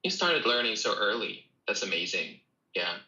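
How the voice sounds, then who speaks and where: A man's voice: lowish voice, very animated, moderate pace, bright, forceful tone, reverberant, lo-fi sound. A young man from Russia with a Russian accent, co-hosting a casual chat and repeating his phrases as he talks to viewers. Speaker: co-host.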